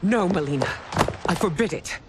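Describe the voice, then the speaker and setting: A woman's voice: on the low side, expressive, normal speed, very noisy, natural voice. An actress playing a proud widowed empress and protective mother in a video game, speaking with regal, commanding emphasis. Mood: alarmed, commanding. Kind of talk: dramatic video game voice acting. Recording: loud cinematic music over voice.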